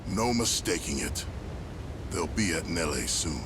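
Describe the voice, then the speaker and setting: A man voicing a gruff, wary pirate lookout in a video game, grave and clipped. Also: lively intonation, unhurried. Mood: grave warning. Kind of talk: scripted video game cutscene acting. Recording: rumbling engine-like noise behind.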